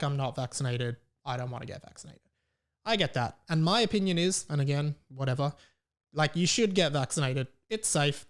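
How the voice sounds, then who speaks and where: Rambling Australian man hosting a podcast. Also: on the high side. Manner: hesitant, rambling, self-questioning. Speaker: podcast host. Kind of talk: casual opinion monologue on a podcast.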